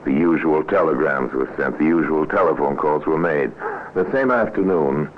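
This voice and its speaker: A grave crime storyteller with a profoundly deep voice. He narrates ominously in old-time radio mystery style. The recording is thin and narrow, with some hiss. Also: moderate pace. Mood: grave, measured.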